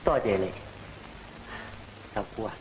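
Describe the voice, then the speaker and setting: An actor playing a courteous, dutiful young scholar in an old Cantonese opera film, earnest, with a Cantonese accent. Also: male voice, fairly low pitch, measured pace, slight background noise, natural voice, low-quality recording. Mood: gentle. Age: young adult.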